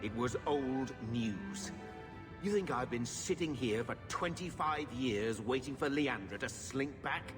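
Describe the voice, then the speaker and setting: An actor playing a gruff, evasive middle-aged uncle in a fantasy game, bitter and dismissive in manner. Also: male voice, medium pitch, lively intonation, a little slow, lightly acted. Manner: surly, dismissive. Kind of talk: fantasy video game acting. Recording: long reverb, loud music behind.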